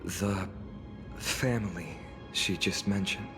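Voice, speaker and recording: A man's voice: low-pitched, takes it slowly, loud music behind the voice. A man voicing a courteous, earnest young warrior in a video game, polite and restrained. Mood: polite, curious. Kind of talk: scripted video game voice acting.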